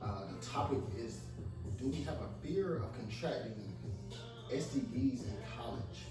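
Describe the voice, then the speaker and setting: A man's voice: medium pitch, lively intonation, a little slow. A man of twenty-one talking casually and with slang as a student panelist in a group discussion. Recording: very echoey, distant, music behind.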